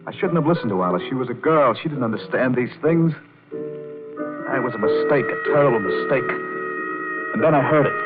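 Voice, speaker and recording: An actor playing an anxious, self-justifying piano player who narrates a crime story in a tense, confessional, pleading way, in old-time radio drama style. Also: male voice, fairly high pitch, lightly acted. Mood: guilty brooding. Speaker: narrator and lead character. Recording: muffled vintage radio recording with music.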